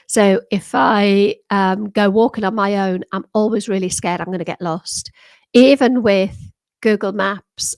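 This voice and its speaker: A woman's voice: mid-range voice, vocal fry, dry recording. A retired British woman in her sixties, chatting casually with viewers on a livestream, rambling with frequent ums, restarts and laughs, her voice a little worn. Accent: British English. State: slightly losing her voice. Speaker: livestream host. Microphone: desktop microphone.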